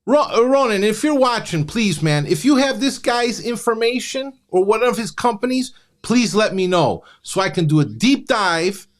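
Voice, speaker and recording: A man's voice: high voice, animated, moderate pace, few pauses. Exasperated male live-stream host. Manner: mocking, exasperated. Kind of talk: live commentary.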